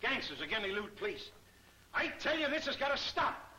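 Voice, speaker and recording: High, sharp, blustering official. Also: male voice, sing-song, a little fast, full character voice, low-quality recording. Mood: indignant. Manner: sarcastic, indignant. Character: irate, scornful blustering official. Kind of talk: 1940s movie serial acting. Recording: old film soundtrack with faint hiss.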